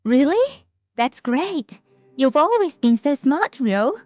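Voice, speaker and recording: A woman's voice: high voice, very animated, takes it slowly, a little mellow, dry recording, muffled recording, heavily acted. A woman voicing a kind, caring adult childhood friend in a manga dub. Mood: warmly encouraging.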